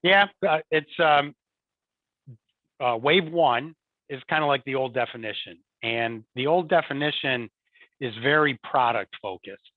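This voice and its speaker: A man's voice: mid-range voice, a little slow, no room sound. Guy guesting on an interview, keeps going "you know, right?", tinny phone-ish sound. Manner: repeats words, many "you know" and "right?" tags. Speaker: guest, support and field services executive. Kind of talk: conversational interview. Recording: tinny remote call audio, dry.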